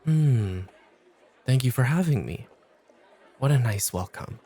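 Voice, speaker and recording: A man playing a cool, exacting off-duty club host who teases and challenges in a measured way, in an ASMR roleplay. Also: mid-range voice, animated, mellow, husky, a faint crowd. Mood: polite, cool. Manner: challenging, measured, coolly teasing.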